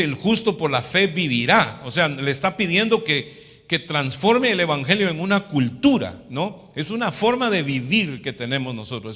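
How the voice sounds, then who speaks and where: A man's voice: a little high, pushed and bright. A man with a Latin American Spanish accent teaching a sermon as a pastor, in a didactic manner, often checking for agreement with short tag questions. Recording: long hall reverb.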